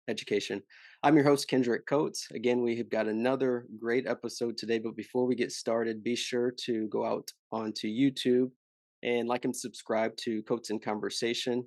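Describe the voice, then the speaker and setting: A young man hosting a podcast interview, talking in a relaxed, friendly way with a few fillers. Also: mid-range voice, no room sound. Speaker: podcast host. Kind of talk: casual interview.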